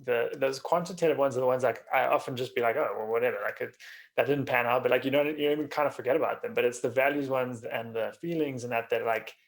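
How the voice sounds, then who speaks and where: Gravelly-voiced man hosting a casual podcast. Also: medium pitch. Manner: halting, thinking aloud, informal. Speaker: podcast host. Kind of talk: casual interview conversation.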